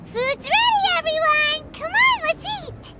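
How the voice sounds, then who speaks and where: A woman voicing a small, childlike fairy companion in a video game, squeaky and exclamatory, bubbly and nosy. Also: expressive, unhurried, heavy background noise. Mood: cheerful, satisfied. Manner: refers to self in third person, exclamatory.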